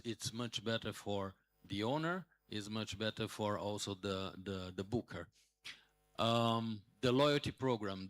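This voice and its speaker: A man in his sixties giving a conference talk as a hotel manager, pausing often with fillers and restarts. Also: lowish voice, fairly bright tone, dry recording. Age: 60s. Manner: hesitant, many fillers and restarts.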